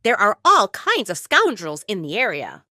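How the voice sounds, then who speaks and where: A woman's voice: mid-range voice, huge pitch swings, normal speed, bright, forceful tone, no room sound, studio quality. A woman voicing a suspicious, over-friendly man in his early thirties in an audio drama. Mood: falsely reassuring.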